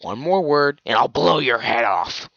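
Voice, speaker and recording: A man's voice: mid-range voice, very animated, unhurried, gravelly, highs cut off, background noise. A man giving scripted commentary for a gaming video, sarcastic and profane, with ranting asides.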